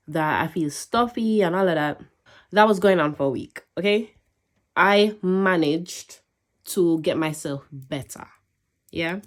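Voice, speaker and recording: Low-voiced young woman vlogging, still congested. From England. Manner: chatty, animated storytelling with rhetorical asides. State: recovering from a cold, slightly congested. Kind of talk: casual chat to camera.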